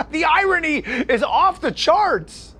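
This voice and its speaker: A man's voice: very high voice, sing-song, slow pace, bright, forceful tone, exaggerated voice. A man playing a smug, spiteful young wrestling champion in a video game, condescending and boastful, with noisy ambience behind him. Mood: smug, mocking. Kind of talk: video game cutscene acting.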